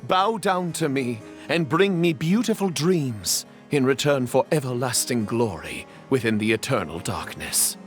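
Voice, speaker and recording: A highish, very animated young would-be knight, humble and eager to please. He speaks with theatrical sincerity in a video game scene, faint music underneath. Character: earnest, humble young actor playing a knight. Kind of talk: video game dub acting.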